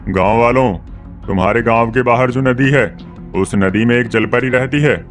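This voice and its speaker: A man plays a greedy tantric sorcerer in a cartoon, with a mid-pitched voice. He schemes and declaims persuasively over faint music. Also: moderate pace, natural voice. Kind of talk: Hindi cartoon story dubbing.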